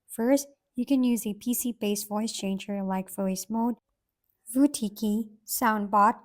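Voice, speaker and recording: A woman's voice: mid-range voice, darkish tone. A woman hosting a tech tutorial, reading scripted step-by-step instructions.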